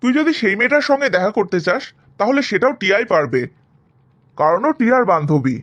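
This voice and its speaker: A man's voice: sits high, expressive, quick pace, a bit bright, muffled recording. A man playing a reasoning, insistent middle-aged father in a cartoon family drama, persuasive and emphatic.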